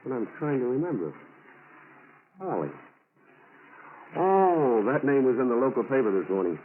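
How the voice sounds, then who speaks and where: Actor as a shrewd investigator, tinny old radio. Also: male voice, medium pitch, big pitch swings, normal speed, lightly acted. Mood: intent. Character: shrewd, persistent male federal investigator. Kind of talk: 1940s radio acting. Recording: scratchy, tinny old-time radio broadcast.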